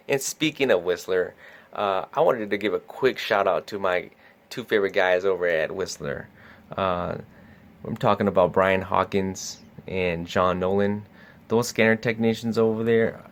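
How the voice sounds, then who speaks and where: A man's voice: deep voice, creaky, fairly bright tone, a little hiss or hum. A man casually talking through product features as a store salesperson, with many uh and um fillers. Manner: easygoing, lots of uh and um fillers. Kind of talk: casual product demo talk.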